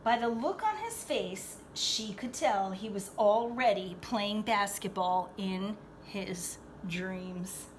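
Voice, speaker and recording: A woman's voice: mid-range voice, slow, hardly any pauses, slight background noise. A woman reading a storybook aloud to children expressively, with chatty, teacherly asides, questions and emphatic phrasing. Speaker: storybook read-aloud reader.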